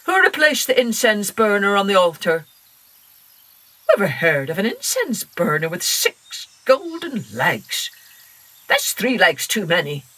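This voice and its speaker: A woman voicing a gruff, bossy old male temple guardian in a children's story, booming and commanding. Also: wildly swooping pitch, measured pace, sharp tone, dry recording, full character voice. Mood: angry.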